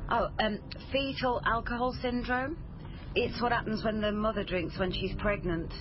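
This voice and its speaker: A woman's voice: fairly high pitch, steady tone, normal speed, natural voice. A woman playing a persistent, probing police family liaison officer in a naturalistic radio drama. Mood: explaining. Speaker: actor in a crime drama. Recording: dull radio recording, faint noise.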